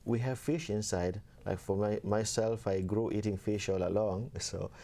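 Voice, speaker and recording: A young man from Kenya, a seminarian guest, talking casually and softly with many fillers. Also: lowish voice, steady tone, normal speed, vocal fry. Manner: soft-spoken, many fillers, laughs easily. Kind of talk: casual interview talk.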